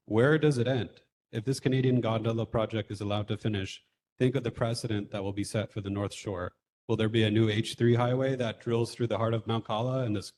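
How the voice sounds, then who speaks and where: A man's voice: on the low side, darkish tone, a little hiss or hum. A man who is a neighborhood board treasurer, reading a formal, rousing prepared statement at a community meeting.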